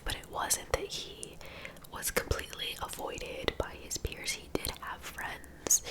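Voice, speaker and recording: Woman, breathy whisper, slow pace, soft informal ASMR narration. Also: low-quality recording. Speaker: ASMR host narrating true crime.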